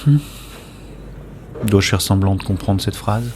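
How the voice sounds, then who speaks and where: Casting: male actor for introspective first-person narrator of a sci-fi audio fiction, lowish register, wry observer, faint ambient noise. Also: steady tone, takes it slowly, a little mellow. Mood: pensive, observant.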